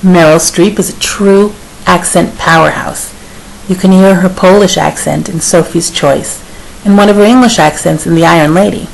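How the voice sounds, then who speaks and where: A woman speech and dialect coach reading a scripted piece of advice in a measured, advisory tone. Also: fairly low pitch, creaky, low-quality recording. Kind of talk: scripted narration. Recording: faint hiss.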